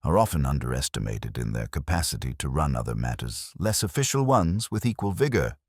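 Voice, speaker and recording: Male reader as shrewd mystery-novel inspector: very deep, mild, courteous, understated, measured questioning. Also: big pitch swings, no echo, clean studio sound, full character voice. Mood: measured caution.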